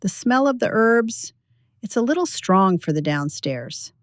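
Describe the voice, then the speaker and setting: A woman's voice: mid-range voice, big pitch swings, unhurried, no echo, studio quality. A woman voicing a politely disapproving daughter-in-law in a narrated audio story, tactful, hesitant and rehearsed. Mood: politely critical.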